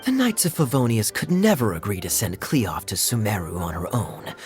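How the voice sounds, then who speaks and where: An actor voicing a suave, wry adult knight in a video game. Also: male voice, lowish voice, huge pitch swings, a little fast, faint music behind.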